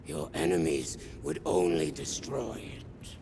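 A man voicing a solemn, weary alien seer for a game, croaky and breathy, speaking halting broken English in short phrases. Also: rumbling low, animated, warm tone, slight background noise. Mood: grave, firm. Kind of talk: video game cutscene acting. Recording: music under the voice.

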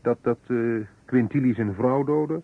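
Detective's companion, medium pitch, dramatic, narrow-band vintage radio recording. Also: male voice, a little slow, vocal fry. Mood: theorising. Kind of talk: old Dutch radio detective drama acting.

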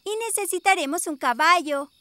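A woman voicing a bossy, theatrical young fairy godmother in a children's cartoon, brisk and self-assured as she instructs. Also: extremely high pitch, heavy background noise. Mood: businesslike. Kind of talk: animated children's cartoon dubbing in Spanish. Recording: music and jingles behind the voice.

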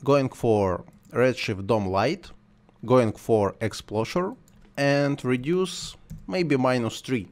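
A man whose first language is Russian or Ukrainian, talking through a screen-recorded software tutorial while he works, in simple, halting English. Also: medium pitch. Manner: thinks aloud while working, simple non-native phrasing.

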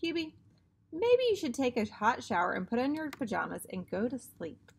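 A caring, sensible mother from a children's story, read aloud by a woman. She reasons in a motherly way, in a fairly high voice with lively rises and falls. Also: moderate pace, creaky, a little hiss or hum. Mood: concerned.

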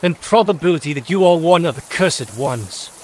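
A man's voice: a little high, lively intonation, moderate pace, voice pushed up. A man voicing a male elf leader in a fantasy audiobook, cold, pompous, melodic and intimidating. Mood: menacing.

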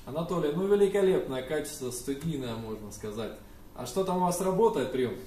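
A man's voice: fairly high pitch, lively intonation, fairly quick, mellow. Young man on radio, echoey distant room sound. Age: young adult. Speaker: amateur radio operator. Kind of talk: ham radio contact, call signs spelled out. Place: small indoor room with radio desk and computers. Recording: echoey room, distant mic, faint noise.

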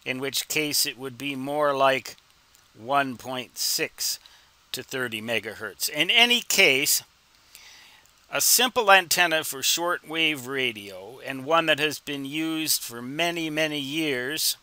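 A man in his sixties giving an informal explanatory tutorial, with deliberate, emphatic phrasing and rhetorical questions. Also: medium pitch, sharp tone. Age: 60s. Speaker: instructor and ham radio hobbyist.

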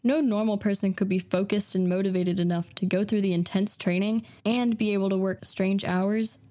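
A woman's voice: mid-range voice, fairly even intonation, normal speed, warm tone. A young woman hosting an interview podcast, speaking as the interviewer. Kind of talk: interview questions and scripted outro.

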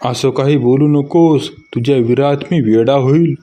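A man's voice: mid-range voice, normal speed, warm tone, dry recording, close to an everyday voice. A man playing ordinary put-upon men in comic cartoon skit dialogue. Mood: dry, joking.

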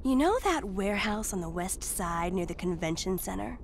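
A woman's voice: medium pitch, lively intonation, moderate pace, a little hiss or hum, natural voice. A woman voicing a flirty, teasing, carefree thrill-seeker in a video game, with a sultry and taunting manner.

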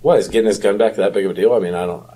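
A man's voice: on the low side, rapid-fire, a crowd in the background. A man in casual, wisecracking chat as a podcast co-host.

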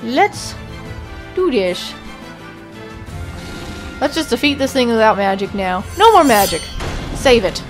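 A young woman chats her way through a game, talking back at characters with mild exclamations. Her fairly high voice sounds a touch off from allergies. Quiet game music plays behind her. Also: very animated, takes it slowly, pauses often. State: voice slightly off from allergies. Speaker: let's play gamer. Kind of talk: casual gameplay commentary.

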